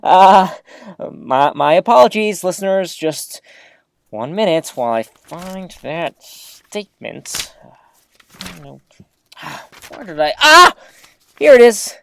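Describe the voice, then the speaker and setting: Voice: mid-range voice, slow, full character voice. An actor playing a rambling, easily flustered small-town radio host giving a live broadcast in an audio drama, awkward and chatty. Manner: awkward, animated, throat-clearing, chatty.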